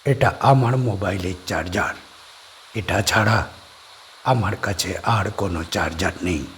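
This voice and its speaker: D'Souza, a slow calm host. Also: male voice, low voice, slight background noise, voice pushed down. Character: middle-aged man D'Souza, calm island bungalow host.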